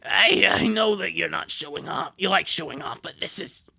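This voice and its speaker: A man voicing a stern chain-smoking mother, gravelly and exasperated, lecturing in a cartoon fan dub. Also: a little high, normal speed, pushed and bright, full character voice. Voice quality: gravelly, coughing.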